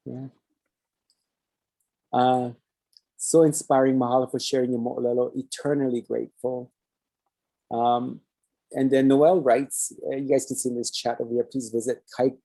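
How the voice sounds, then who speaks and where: A man's voice: medium pitch, creaky, mellow, breathy edge, poor audio. A man with a Hawaiian Pidgin accent hosting a talk show, chatting loosely and reading viewer comments aloud with Hawaiian words mixed in. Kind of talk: casual live-stream sign-off chat.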